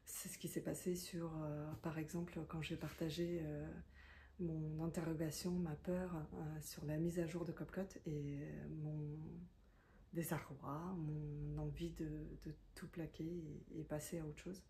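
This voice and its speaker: A woman's voice: low voice, level tone, measured pace, warm tone, slight background noise. A woman in her thirties or forties, a self-employed content creator, speaking French as a second language in a reflective, confiding monologue with many hesitations. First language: not French. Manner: hesitant, many fillers and restarts; thoughtful and confiding. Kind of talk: reflective talking-head monologue.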